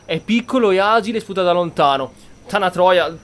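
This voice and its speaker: A man's voice: high voice, fast talker, a crowd in the background. A man with an Italian accent giving casual gameplay commentary, blunt, grumbling and prone to swearing. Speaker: gameplay host.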